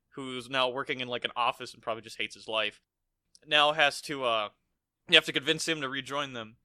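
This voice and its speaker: A man's voice: medium pitch, pushed and bright, clean tone, dry recording. An American man co-hosting a podcast, chatting casually about a film in a rambling, profane storytelling way. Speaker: podcast co-host. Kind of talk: casual film review chat.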